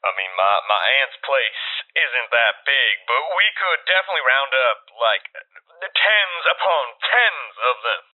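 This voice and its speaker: A man playing an earnest, deferential military general who is solemn about silly schemes, in a deadpan comedy audio drama over a call. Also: mid-range voice, unhurried. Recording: narrow, phone-like remote meeting line.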